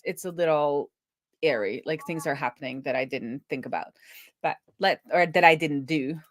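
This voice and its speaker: A woman live streaming a casual coding walkthrough, talking informally and thinking aloud to herself as she works. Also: deep voice.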